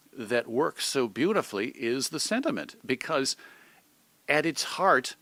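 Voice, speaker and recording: A man's voice: medium pitch, pushed and bright, dry recording. An American man giving thoughtful, articulate answers as an interview guest, often reaching for examples. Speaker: interview guest, old-time radio host and magazine editor.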